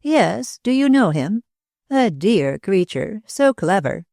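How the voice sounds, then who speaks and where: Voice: mid-range voice, big pitch swings, slow, gravelly, a little mellow, dry recording, studio quality, voice pushed up, exaggerated voice. A reader voicing a middle-aged society hostess who gushes and babbles with shallow enthusiasm. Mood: gushing. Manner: effusive, babbling, emphatic. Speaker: literary hostess.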